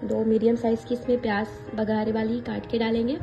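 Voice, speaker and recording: Calm woman explaining a recipe. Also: fairly high pitch, brisk, dull audio. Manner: calm, explanatory, with small hesitations. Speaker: cooking channel host. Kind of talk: step-by-step recipe instruction. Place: home kitchen at a gas stove. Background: background music.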